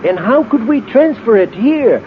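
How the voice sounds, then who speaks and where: An actor playing an earnest, cautious space scientist in a 1950s radio drama, explaining things deliberately. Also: male voice, very high voice, animated, narrow, tinny audio. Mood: cautious, intrigued. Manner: deliberate, pondering, expository. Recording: very thin, noisy old radio recording.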